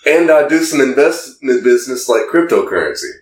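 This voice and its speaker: A man's voice: mid-range voice, sing-song, brisk. A man casually reading text messages aloud for comedy, stumbling a little through broken English in an amused way. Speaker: podcast host. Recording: roomy echo, distant from the mic.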